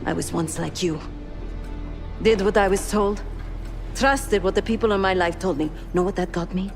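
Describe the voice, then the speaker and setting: A woman acting a hardened, guilt-haunted former assassin in a video game drama, speaking in a measured, intense way. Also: medium pitch, faint music behind, lightly acted. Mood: pained, urgent.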